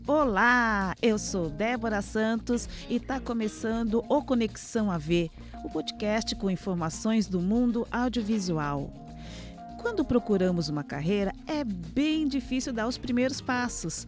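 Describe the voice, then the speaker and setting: A woman's voice: a little high, expressive, a little slow, over music. A woman hosting an audiovisual podcast, reading a scripted introduction and then interviewing her guest. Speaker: podcast host.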